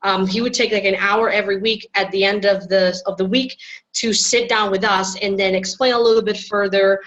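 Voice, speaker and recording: A woman's voice: medium pitch, steady tone, a little fast, a bit bright, dull audio. A woman from Puerto Rico sharing her experience in a workshop discussion, frank and wry. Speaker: workshop participant. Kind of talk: casual group discussion.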